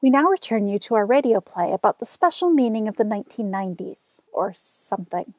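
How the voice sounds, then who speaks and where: A woman's voice: mid-range voice, expressive, brisk, a little mellow, no echo. A woman radio host reading scripted announcements.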